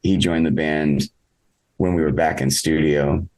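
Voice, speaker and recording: Very deep-voiced man, reflective interviewee. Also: fairly even intonation, darkish tone, rough recording. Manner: halting, reflective, thinking aloud. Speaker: interviewed musician, singer in a band. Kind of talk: casual interview talk.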